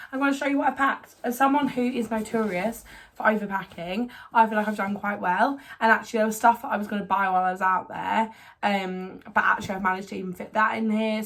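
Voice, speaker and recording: Hoarse chatty young woman vlogging. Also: mid-range voice, fairly bright tone. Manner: chatty, rambling, filler-heavy. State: hoarse, recovering from a cold. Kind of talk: casual packing vlog. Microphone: camera on tripod.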